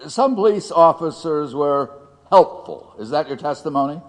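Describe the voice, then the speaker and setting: An actor playing a dogged, sly defence lawyer in a courtroom audio drama, halting and insinuating. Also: male voice, a little high, expressive, lightly acted. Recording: long hall reverb.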